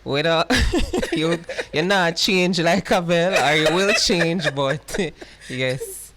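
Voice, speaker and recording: A man's voice: on the high side, slow, noisy background. A man co-hosting a radio show, agreeing with the host and laughing along.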